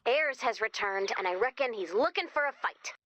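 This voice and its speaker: A young American woman guiding the player through a video game. Her voice is high and bright, swinging widely in pitch. She comes across as quirky, chatty and theatrical, with a wisecracking streak. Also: animated, moderate pace. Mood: dramatic letter reading. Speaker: mission guide character addressing the player commander. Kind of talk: animated video game character dialogue.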